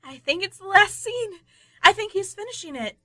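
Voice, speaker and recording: High-voiced, encouraging young woman played by an actress. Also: expressive, normal speed, pushed and bright, no echo, studio quality, heavily acted. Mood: curious. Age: young adult. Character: encouraging, romantic young woman among stranded lovers. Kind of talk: modern radio play acting.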